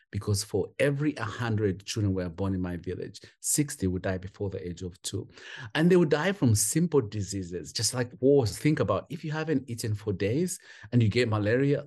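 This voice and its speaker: A man's voice: fairly low pitch, darkish tone. A man from Uganda, a guest on a podcast, telling his life story in an emphatic, heartfelt way. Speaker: guest, foster parent. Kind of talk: interview storytelling.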